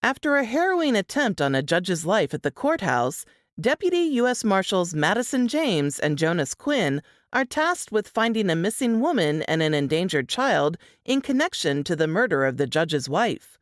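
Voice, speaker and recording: A woman's voice: fairly low pitch, sing-song, moderate pace, dry recording, clean studio sound. A woman reading a scripted book summary as a narrator.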